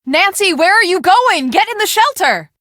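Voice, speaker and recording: An actress playing a brisk, bossy young woman on a storm-chasing team in a video game, speaking emphatically and expressively. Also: very high-pitched, very animated, sharp tone, no room sound, studio quality, full character voice. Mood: urgent, worried. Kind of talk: scripted video game dialogue.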